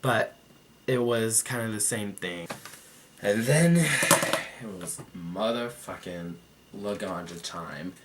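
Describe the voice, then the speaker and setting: A man's voice: lowish voice, slow pace, heavy background noise. A young man giving a sassy, profane, gossipy review to camera as a vlogger. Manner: sassy, profane and gossipy, with mocking quoted voices. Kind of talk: casual talk to camera.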